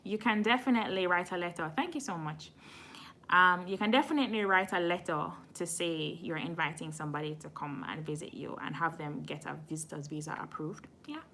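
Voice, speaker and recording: A woman's voice: lowish voice, brisk, sharp tone, a little hiss or hum. A woman from Jamaica hosting a casual live stream, answering viewer questions frankly and admitting when she doesn't know. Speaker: immigration channel host.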